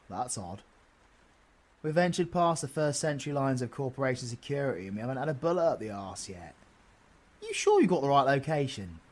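British accent, male actor as bossy supervillain, cheeky teasing, slangy swearing, mid-pitched voice, audio roleplay. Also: a little mellow. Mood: gruff, grumbling.

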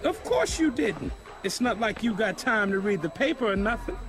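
An actor playing an arrogant rival rap label star in a video game, mocking and swaggering. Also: male voice, high-pitched, big pitch swings, normal speed. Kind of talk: video game cutscene acting.

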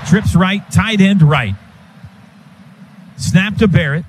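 American guy doing radio football play by play, highish voice, stadium noise behind him. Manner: clipped, rapid phrases following the action. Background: loud stadium ambience.

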